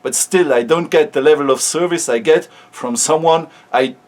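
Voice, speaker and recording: A man's voice: fairly high pitch, normal speed. A Swiss German-speaking man with a Swiss accent, talking frankly in a casual vlog with many restarts. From Switzerland. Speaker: maker sharing experience.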